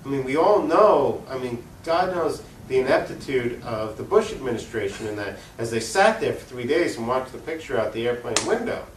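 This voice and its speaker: A man's voice: medium pitch, animated, moderate pace, few pauses. A man speaking off the cuff as an activist interview guest, opinionated and emphatic, often posing rhetorical questions. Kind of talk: unscripted political argument in an interview. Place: room recording for a cable access show. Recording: echoey room, distant mic, faint noise.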